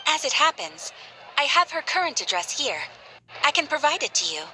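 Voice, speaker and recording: A courteous, dutiful clerk in a video game, composed and formal in her phrasing. Clear noise runs behind the voice. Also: high-pitched, big pitch swings, normal speed. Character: polite, formal, dutiful woman clerk serving commissioners. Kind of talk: video game character acting.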